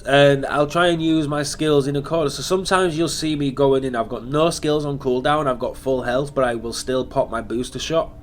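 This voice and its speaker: A man's voice: medium pitch, steady tone, moderate pace, few pauses, a little hiss or hum. A man hosting a gaming channel, talking through a build in casual commentary, sounding nasal and sniffly from hay fever. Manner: chatty, explaining step by step.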